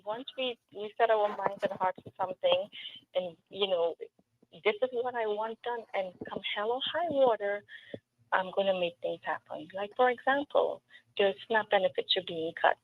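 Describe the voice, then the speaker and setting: A woman's voice: mid-range voice, normal speed. Woman with a Trinidadian accent over a tinny line. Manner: earnest, emphatic, with storytelling asides. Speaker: political candidate. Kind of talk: interview answers. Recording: tinny phone-like line with faint noise.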